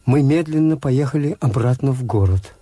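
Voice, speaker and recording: An actor playing a wry, cautious hotel owner who narrates a Soviet radio drama in a measured literary way. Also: male voice, medium pitch, lively intonation, mellow, natural voice. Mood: wry narration. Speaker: narrator and lead character.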